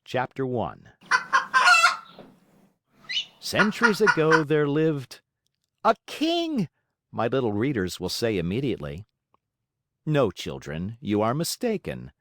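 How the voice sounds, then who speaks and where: A man's voice: medium pitch, wildly swooping pitch, unhurried, a bit bright. A man narrating a children's story as an audiobook reader, in a theatrical, emphatic way.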